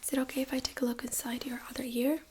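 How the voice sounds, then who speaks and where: A woman speaking in a breathy whisper, gently and reassuringly, as a doctor in an ASMR medical exam role-play. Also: sits high, level tone, a little hiss or hum. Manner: gentle, reassuring, unhurried clinical explaining.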